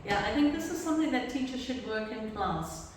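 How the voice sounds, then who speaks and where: Brief: woman exam trainer, relaxed Q&A talk, recorded far from the mic in a very echoey room. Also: fairly high pitch, brisk, slight background noise. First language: English. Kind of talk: casual Q&A discussion.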